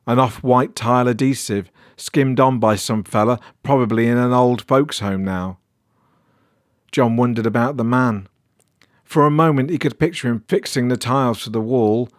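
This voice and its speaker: A man's voice: medium pitch, dry recording. British man narrating, very dry sound. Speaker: story narrator. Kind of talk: scripted narration of a weird horror tale.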